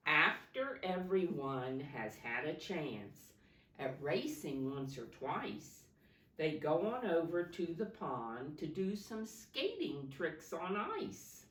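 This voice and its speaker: A woman reading a picture story aloud to young children in a sing-song way, pausing to point things out and ask simple questions. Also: deep voice, very animated, a bit bright, a little hiss or hum. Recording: roomy echo, distant microphone.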